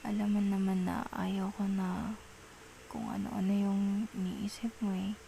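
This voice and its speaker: A woman acting as a young Filipino girlfriend in an ASMR roleplay, apologetic and pleading. Her voice is soft and mellow, and she talks slowly and hesitantly, coaxing her partner. A faint noise sits behind the intimate recording. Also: mid-range voice, flat delivery, vocal fry. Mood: apologetic, tender.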